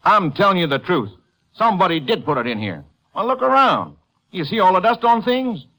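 A man's voice: sits high, sing-song, brisk, bright, forceful tone, heavily acted. An American man playing an indignant, folksy rural Texan protesting his innocence in a 1950s radio western. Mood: defensive, insistent. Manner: folksy, defensive. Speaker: supporting actor in a radio drama. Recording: vintage 1950s radio recording.

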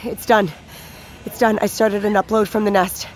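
A woman's voice: mid-range voice, little pitch movement, normal speed, noisy background, lightly acted. An actress playing a frantic, foul-mouthed young woman hacker, brusque and determined, in a naturalistic audio drama. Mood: urgent, earnest. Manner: urgent, blunt, swearing. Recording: music behind the voice.

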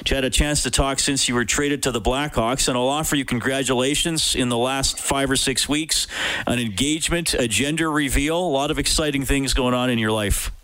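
A man's voice: mid-range voice, normal speed, fairly bright tone, rough recording. A man hosting a sports radio show, talking and interviewing.

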